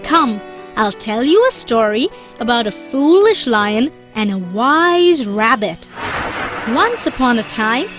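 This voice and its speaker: Brief: patient cartoon mom, high animated voice, slow instructive storytelling delivery for small children, music underneath. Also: female voice, full character voice. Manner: deliberate, instructive. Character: patient mother telling moral stories to her children.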